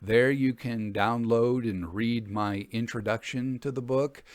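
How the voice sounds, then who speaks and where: Podcast host: man with a medium-pitched voice, measured explanatory delivery, close dry sound. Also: steady tone, no echo. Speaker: host and narrator of a story podcast. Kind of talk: measured podcast outro, book promotion.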